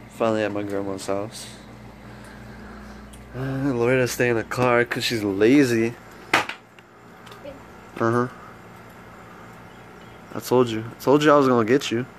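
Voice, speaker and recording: A man's voice: mid-range voice, a little hiss or hum. A young adult man vlogging casually into a handheld phone, chatting in a playful, teasing way. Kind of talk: casual chat to camera. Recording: loud music behind the voice.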